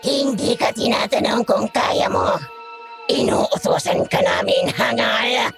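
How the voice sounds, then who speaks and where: Slow, creaky, haughty monster mistress. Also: female voice, on the high side, exaggerated voice. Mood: scornful threat. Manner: imperious, scornful, drawn-out menace. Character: haughty, sadistic female aswang mistress. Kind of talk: horror audio drama acting. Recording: music under the voice.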